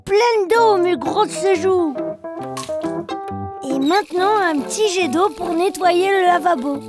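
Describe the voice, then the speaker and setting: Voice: very high-pitched, slow. A performer voicing an eager, playful little boy bear cub in a children's cartoon, with a childlike singsong manner. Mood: playful, proud. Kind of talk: children's cartoon dialogue and rhymes. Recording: music behind the voice.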